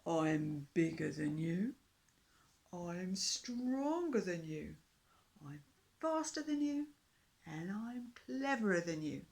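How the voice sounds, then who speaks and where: A woman voicing a big boastful male dinosaur in a storybook reading, talking slowly, loudly and rudely. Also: fairly low pitch, sing-song, vocal fry, full character voice. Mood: bossy, shouting. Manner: loud, slow, rude and sarcastic.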